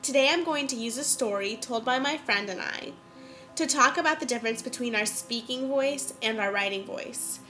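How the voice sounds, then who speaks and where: A woman hosting a podcast, congested from a cold, chatting and explaining in a clear, casual way. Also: on the high side, normal speed, sharp tone, soft background music. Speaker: podcast host. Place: indoors.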